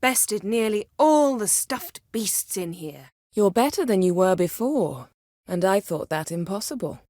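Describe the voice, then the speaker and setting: A woman voicing a proud, sharp-tongued sorceress in a video game, speaking crisp, formal with an imperious, sardonic manner. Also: mid-range voice, expressive, unhurried, no echo, clean studio sound, close to an everyday voice. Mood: softer, wry. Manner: crisp, formal, haughty and biting. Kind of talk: video game character dialogue.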